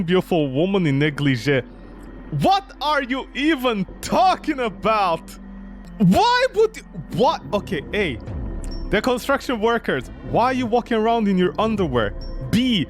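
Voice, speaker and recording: Animated, high-pitched man doing incredulous game commentary. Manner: incredulous, animated, mocking reactions. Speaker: video game streamer. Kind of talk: gameplay commentary, reading visual novel text aloud and reacting.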